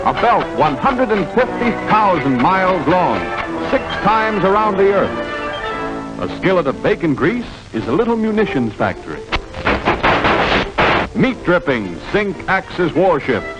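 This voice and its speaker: A man's voice: sits high, sing-song, measured pace, loud music behind the voice, poor audio. A man playing an earnest, emphatic wartime propaganda narrator in a 1940s newsreel style, on an old film soundtrack. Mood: urgent. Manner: emphatic, declamatory.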